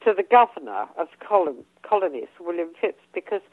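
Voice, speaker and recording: An older English woman speaks over a tinny phone line, her voice husky and going hoarse. She talks in a lively, rising and falling way, pausing on ums and restarting her sentences. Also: fairly low pitch, no room sound. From England. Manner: hesitant, many ums and restarts. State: losing her voice. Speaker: guest author. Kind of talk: casual interview talk.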